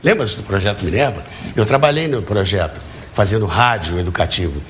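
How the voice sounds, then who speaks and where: Older male, Brazilian accent, very lively intonation, emphatic interview storyteller, slightly noisy sound. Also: lowish voice, a little fast. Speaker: talk show guest, radio and TV educator. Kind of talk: interview, reminiscing.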